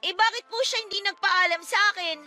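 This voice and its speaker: Woman as a young girl, very high sharp voice, polite, earnest, pleading, radio drama. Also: fairly quick, faint music behind, full character voice. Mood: pleading, upset. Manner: respectful, pleading, questioning. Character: young daughter, earnest, polite, devoted to father. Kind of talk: radio-style audio drama.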